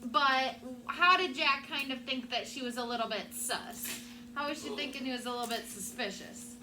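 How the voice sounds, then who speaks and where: A woman teacher doing an expressive storybook read-aloud for her class. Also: sits high, normal speed. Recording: clear background room noise.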